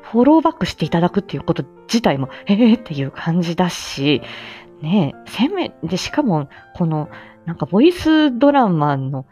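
A woman's voice: lowish voice, big pitch swings, normal speed, mellow, faint music behind. A woman chatting casually to listeners as a livestream host, effusive and humble, often breaking into small laughs. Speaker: livestream host and amateur voice actor.

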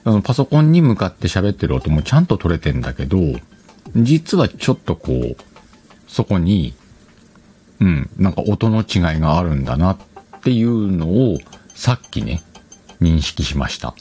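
A man's voice: low voice, sing-song, normal speed, warm tone, soft background music. A man hosting a solo podcast in a casual chat, playfully talking to himself and correcting himself as he goes. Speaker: podcast host. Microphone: external microphone, recorded on a computer.

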